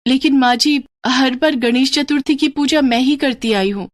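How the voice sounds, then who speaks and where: A woman's voice: sits high, moderate pace, voice pushed up, close to an everyday voice. A woman voicing a devout, wronged young daughter-in-law who pleads earnestly and sorrowfully in a cartoon moral tale. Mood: hurt, despairing.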